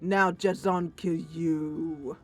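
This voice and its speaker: A woman voicing an angry, wounded male troll in a roleplaying game, with menacing, halting broken speech. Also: sits low, steady tone, a little hiss or hum.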